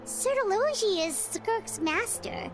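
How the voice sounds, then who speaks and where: A woman voicing a tiny, childlike, inquisitive fairy companion in a video game, with a squeaky cartoonish voice. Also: lively intonation, slow, over music, heavily acted, rough recording. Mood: figuring it out.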